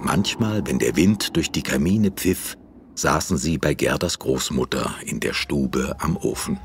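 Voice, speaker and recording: An actor narrating a fairy tale audio drama in a measured, expressive, literary manner. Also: male voice, very deep voice, slight background noise. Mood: storytelling.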